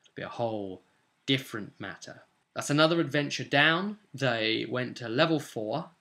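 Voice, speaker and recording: Young british guy rambling to camera, bright forceful voice, mid pitch, chatty vlog from his room. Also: expressive, normal speed, vocal fry. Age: 20s. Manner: rambling, conversational, with tag questions. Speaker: game master recapping sessions as vlogger. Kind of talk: casual chat to camera. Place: small indoor room at home.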